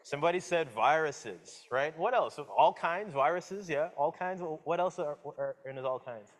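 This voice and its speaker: A man's voice: a little high, expressive, measured pace. Man with a slight Caribbean accent. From Guyana, raised in Jamaica. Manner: casual, friendly, asks audience questions, many fillers and restarts. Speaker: guest lecturer, professor. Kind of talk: public science talk.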